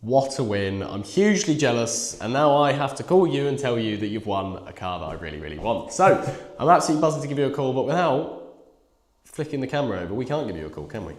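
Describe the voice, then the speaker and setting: A croaky, bunged-up man hosting a live prize draw in chatty, casual talk. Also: medium pitch, sing-song, quick pace, darkish tone, reverberant. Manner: chatty, reads numbers aloud. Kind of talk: casual live-stream chat.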